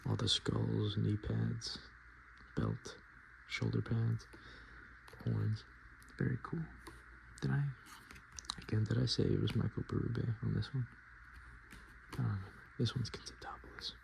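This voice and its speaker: A man softly speaking and whispering in a casual, murmuring ASMR style, as host showing items to the viewer. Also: on the low side, level tone, measured pace, gravelly, slight background noise, rough recording. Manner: hushed, casual murmured asides. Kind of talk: ASMR, softspoken and whispered card show-and-tell.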